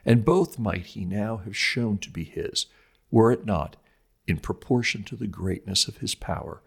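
A man reading an old sermon aloud as a scripted religious commentary, with deliberate, emphatic oratorical phrasing. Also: on the low side, gravelly, slightly rough.

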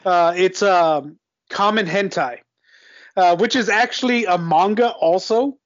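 High-voiced man, just over a cold. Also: measured pace, no echo, muffled recording. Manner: rambling, joking, many fillers. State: recovering from a cold. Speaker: podcast guest co-host. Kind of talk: casual chat.

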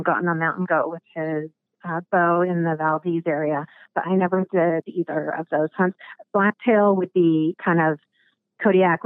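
A raspy-voiced woman from Alaska talks casually as a podcast guest, pausing on ums and circling back on her words. Her voice comes through a thin, phone-like line with no room sound around it. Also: fairly low pitch, dry recording. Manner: halting, many ums and restarts. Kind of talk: casual interview.

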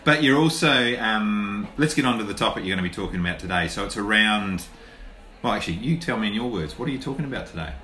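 A man's voice: lowish voice, expressive, quick pace, sharp tone. A man with a New Zealand accent hosting a podcast interview, chatty and affirming, with frequent "yeps". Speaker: podcast host. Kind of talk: casual interview. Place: lunch event venue. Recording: roomy, with faint room noise.

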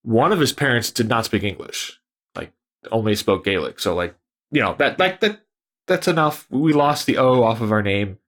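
A man's voice: mid-range voice, moderate pace. A man chatting casually on a podcast, rambling and correcting himself as he goes. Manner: rambling, self-correcting, lively. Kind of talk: casual chat.

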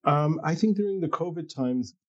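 A middle-aged man, a pathologist, talking reflectively and casually as an interview guest, haltingly repeating words as he thinks aloud. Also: on the high side, expressive, dry recording, dull audio.

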